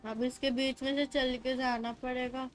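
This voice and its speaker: A woman's voice: high voice, level tone, racing, faint music behind. A woman with an Indian accent giving casual live commentary and muttered reactions as a game streamer.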